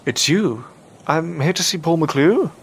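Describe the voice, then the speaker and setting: A man's voice: a little high, animated, unhurried. A man acting an uneasy, earnest adventurer in an audio drama, speaking hesitantly. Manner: hesitant, anxious. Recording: faint background noise and ambience.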